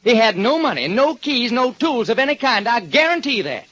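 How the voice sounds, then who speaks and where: An actor playing a police lieutenant who talks loudly and emphatically in a raised voice. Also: male voice, big pitch swings, moderate pace, full character voice. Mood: worried. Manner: loud, emphatic, high-pitched. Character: anxious police lieutenant, boastful then worried. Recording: dull lo-fi old radio broadcast.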